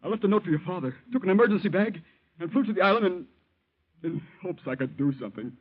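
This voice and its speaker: An actor playing a guilt-ridden, earnest young doctor with an American accent, husky and emotional in old-time radio style. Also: male voice, high-pitched, animated. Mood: guilty, anguished. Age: young adult. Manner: halting, emotional. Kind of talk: 1940s radio acting. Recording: muffled old radio recording.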